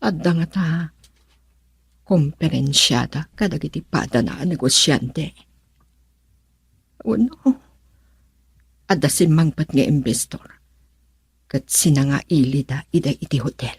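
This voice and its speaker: A woman's voice: low voice, measured pace, creaky, pauses often, husky, no room sound, clean studio sound, exaggerated voice. An actress playing a worried, suspicious woman brooding aloud in an Ilocano radio drama monologue. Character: worried suspicious woman brooding over her absent partner.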